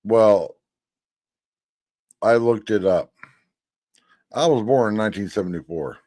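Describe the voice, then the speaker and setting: A man's voice: lowish voice, a little slow, mellow, no room sound. A man in his fifties giving blunt, folksy commentary, now and then swearing. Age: 50s. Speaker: commentator reacting to a hearing. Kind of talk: casual commentary over a clip.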